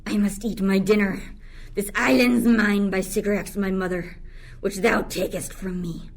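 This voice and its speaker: A woman's voice: medium pitch, steady tone, moderate pace, vocal fry. A young woman playing a resentful enslaved island monster in a Shakespearean radio play, declaiming in verse. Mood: aggrieved. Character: Caliban, bitter cursing enslaved island monster, witch's offspring.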